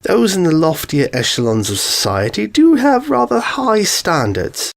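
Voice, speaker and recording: A man plays a prim society mare from a storybook. She speaks in a posh, snooty lilt pitched well above a man's voice and scolds with relish. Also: very animated, measured pace, vocal fry, exaggerated voice. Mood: scolding. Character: prim, haughty adult female pony of high society.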